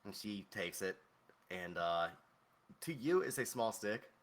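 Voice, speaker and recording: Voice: low-pitched, sing-song, measured pace. A game master improvising casual narration of a tabletop fantasy game.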